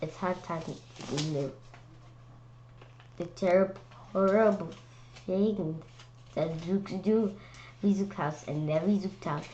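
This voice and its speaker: Woman w/ kinda low voice reading a kids book out loud, stumbles n repeats words, chatty, bit muffled audio. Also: measured pace, long pauses. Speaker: read-aloud presenter. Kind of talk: storybook read-aloud with casual asides.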